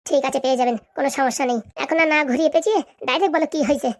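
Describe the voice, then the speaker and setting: A woman's voice: high voice, very fast. A woman voicing a bossy, scheming village housewife in a comic cartoon, with brash, scolding, rustic speech. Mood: impatient, eager.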